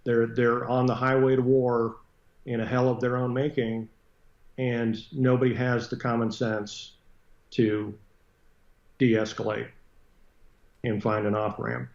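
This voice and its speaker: A roomy, slightly dull recording carries an American man with a very even, flat delivery. He talks as an interview guest, bluntly and matter-of-factly, in plain conversational commentary. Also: mid-range voice, slow, long pauses. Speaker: interview guest, retired military fighter pilot and aviation businessman. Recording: roomy echo, dull muffled sound.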